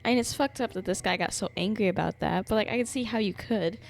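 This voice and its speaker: A woman's voice: medium pitch, quick pace, creaky. A woman livestreaming a game with casual, slangy, teasing commentary and reactions. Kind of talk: casual live gameplay commentary. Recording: game music behind the voice.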